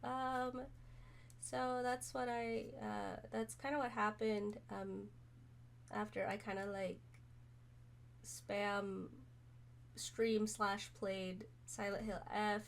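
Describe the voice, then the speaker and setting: A woman streamer chatting casually to camera, hoarse from being sick, rambling with many pauses and fillers. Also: medium pitch, flat delivery, slight background noise. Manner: rambling, many ums, soft-spoken. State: hoarse, recovering from illness.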